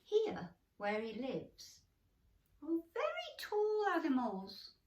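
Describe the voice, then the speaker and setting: Female bedtime storybook reader for small children: slow, expressive, gently encouraging, recorded in an echoey room at a distance. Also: high voice. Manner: animated, gently encouraging, addressing children directly. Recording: roomy echo, distant microphone.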